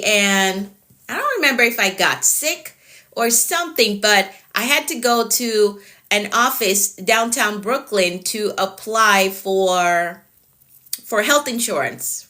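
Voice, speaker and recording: A woman's voice: on the high side, lively intonation, slow pace, pushed and bright. A woman with a Jamaican accent, a productivity coach giving a live-stream talk, repeating her key points and greeting viewers by name.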